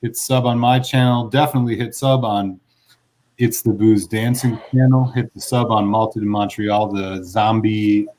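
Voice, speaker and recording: A man's voice: on the low side, steady tone, moderate pace, darkish tone. A man hosting a live whisky stream, chatting with his guests. He talks enthusiastically and at length, with lots of "like". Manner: enthusiastic, talkative, says "like" a lot. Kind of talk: casual live-stream chat.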